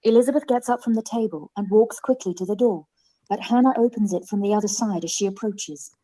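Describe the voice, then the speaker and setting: A woman's voice: medium pitch, fairly quick, dark tone, low-quality recording. A woman reading scripted stage directions as the narrator of a radio play.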